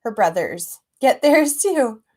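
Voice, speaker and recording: A woman reading a children's storybook aloud expressively and gently, with chatty asides to young listeners. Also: fairly high pitch, sing-song, slow pace. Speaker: children's author reading own book aloud.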